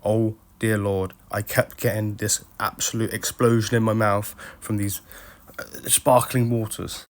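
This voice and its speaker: A young man from the UK talks through his travel vlog with a dry, self-mocking wit. His voice sits on the low side, and the delivery is relaxed. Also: a little slow. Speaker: travel vlogger.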